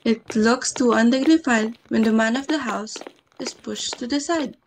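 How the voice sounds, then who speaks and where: Woman playing snobbish hostess mother, high-pitched, exclamatory, bossy, amateur play reading, background noise. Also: normal speed, lightly acted. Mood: indignant, flustered. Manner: haughty, exclamatory, easily flustered. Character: fussy, snobbish society hostess and domineering mother. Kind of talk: amateur dramatic reading of a stage comedy.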